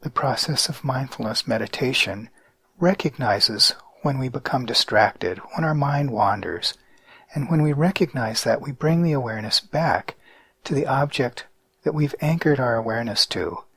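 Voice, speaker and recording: A man guiding a mindfulness meditation in a calm, measured way with deliberate pauses. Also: medium pitch, breathy edge, no room sound. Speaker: meditation guide.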